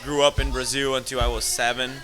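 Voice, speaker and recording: A man's voice: medium pitch, fast talker. A man in his thirties from Italy with an Italian accent, a restaurant owner telling stories in a casual interview and acting out the people he quotes. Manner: animated, laughs often, acts out quoted voices. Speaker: guest, restaurateur. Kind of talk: casual storytelling.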